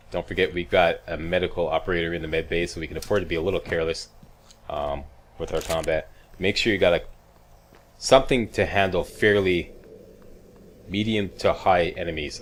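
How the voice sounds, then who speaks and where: A man's voice: low voice, a little hiss or hum. A man hosting a video game walkthrough with casual, chatty, wry commentary and frequent check-ins with the viewer. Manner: chatty, wry, mildly profane, checks in with "okay" and "right".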